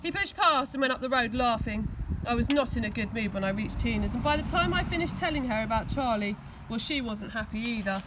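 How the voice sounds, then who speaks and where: High-voiced English woman reading a story. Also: expressive, fairly quick, noisy background. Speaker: school teaching assistant reading aloud. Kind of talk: expressive children's storybook narration. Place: outdoors on grass.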